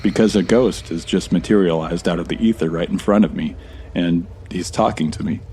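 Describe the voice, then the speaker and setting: An actor playing a wry, bewildered American traveler in an audio drama, with a casual, deadpan delivery. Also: male voice, low-pitched, fairly quick, slight background noise, close to an everyday voice. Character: wry, bewildered American male traveler stranded among ghosts. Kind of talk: audio drama acting with first-person narration.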